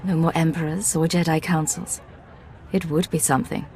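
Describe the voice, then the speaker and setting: A female secret agent from a video game. She is cool, guarded and dry, with a fairly low, controlled voice. There is a slight bed of ambient noise. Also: fairly even intonation, normal speed, darkish tone. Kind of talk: video game dramatic acting.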